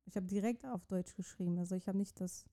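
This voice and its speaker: A woman's voice: medium pitch, steady tone, quick pace, dark tone. A young woman from Iran, Persian as her first language, answering interview questions casually and searching for words with many restarts. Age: young adult. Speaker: interview guest, student writer.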